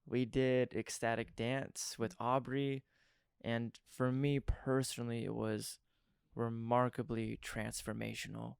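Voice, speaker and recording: A young adult man hosting a podcast interview, talking in an earnest, effusive way with a voice worn hoarse. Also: mid-range voice, flat delivery, takes it slowly, dry recording, clean studio sound. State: partly lost voice. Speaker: podcast host. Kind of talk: casual interview chat.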